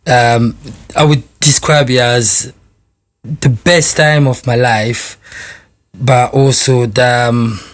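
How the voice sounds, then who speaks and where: A man in his forties who grew up between France and the Republic of Congo, a guest in a radio studio, speaking hesitantly with many pauses and fillers. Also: mid-range voice, steady tone. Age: 40s. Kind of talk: casual interview talk.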